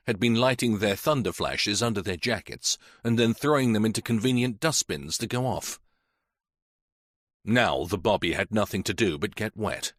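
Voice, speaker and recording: A man reading a mystery novel aloud in a measured, even storytelling manner. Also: on the low side, dry recording, clean studio sound. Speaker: audiobook narrator. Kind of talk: scripted narration.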